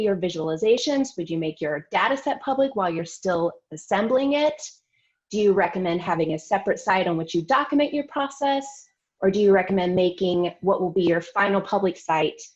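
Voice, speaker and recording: A woman moderating a workshop, asking careful, measured questions over a video call. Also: medium pitch. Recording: dull lo-fi call audio.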